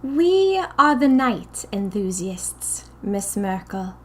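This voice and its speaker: A woman voicing a small, eerie cult leader in audio fiction, with a thin, wavering voice and slow, formal speech. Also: mid-range voice, big pitch swings, a bit bright, exaggerated voice. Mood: quietly menacing. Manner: slow, drawn-out, formal questioning.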